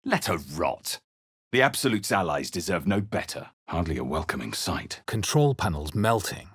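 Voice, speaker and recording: A man playing a grave, introspective adventurer hero in a fantasy video game, speaking in a measured, dramatic way. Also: sits low, very animated, breathy edge, no echo, clean studio sound, heavily acted. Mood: grim, wary. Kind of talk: scripted video game narration and character lines.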